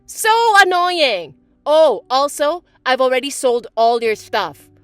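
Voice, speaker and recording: A woman voicing a bratty, spiteful young stepsister who talks in a shrill, mocking, bossy way. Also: lively intonation, takes it slowly, fairly bright tone, no room sound, faint music behind, exaggerated voice. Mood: gloating. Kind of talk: voiced text-message drama.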